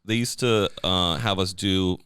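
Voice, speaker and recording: A man from Massachusetts chatting casually on a podcast, telling animated stories and repeating his phrases for emphasis. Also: deep voice, unhurried. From Massachusetts, USA.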